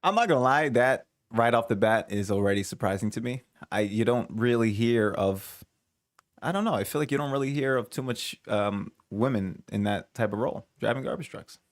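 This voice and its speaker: A man's voice: medium pitch, moderate pace, no echo. Rambling male reaction commentator. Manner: rambling, self-correcting, chuckling asides. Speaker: reaction video host. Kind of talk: casual commentary.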